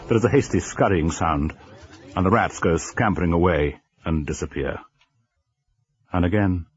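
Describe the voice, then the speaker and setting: An actor as the grave, deliberate narrator of a radio ghost story, telling it with suspense. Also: male voice, rumbling low, a little mellow. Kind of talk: scripted dramatic narration of a horror story. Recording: dull lo-fi old radio recording.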